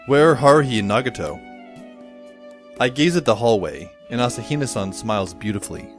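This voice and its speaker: A man's voice: medium pitch, a little fast, faint music behind, lightly acted. A man playing a wry, long-suffering teenage schoolboy who narrates an audio drama in a dry, literary, sardonic way. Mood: admiring, dry.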